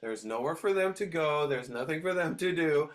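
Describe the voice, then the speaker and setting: A man's voice: on the high side, animated, normal speed. A middle-aged man from Switzerland, a dog trainer guest telling stories in a casual interview, hesitant and full of restarts. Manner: hesitant, many restarts and fillers.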